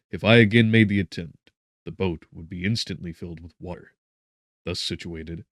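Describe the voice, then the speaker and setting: A man with a very deep voice narrates a gothic novel. His delivery is solemn and theatrical, rising and sinking in volume. The sound is dry, with hardly any room around it. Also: no room sound. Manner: theatrical, solemn, with strong swells and drops in volume. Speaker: audiobook narrator.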